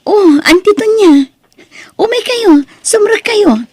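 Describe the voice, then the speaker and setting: Woman playing gentle young Ilocano niece, mellow voice. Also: very high voice, expressive, slow pace, no echo, clean studio sound, heavily acted. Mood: welcoming. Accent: Ilocano Filipino. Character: gracious, forgiving young niece, a new engineering graduate. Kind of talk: Ilocano audio drama acting.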